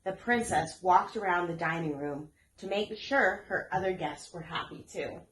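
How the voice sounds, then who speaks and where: A woman reading a children's story aloud as an expressive storyteller. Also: lowish voice, moderate pace, background noise. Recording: echoey room.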